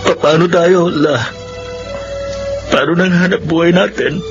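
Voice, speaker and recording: Casting: humble young Filipino grandson, high earnest voice, sorry and pleading; muffled radio-drama sound. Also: male voice, steady tone, a little slow. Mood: worried. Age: young adult. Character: humble, apologetic young grandson of poor farmers. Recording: band-limited radio drama, music behind.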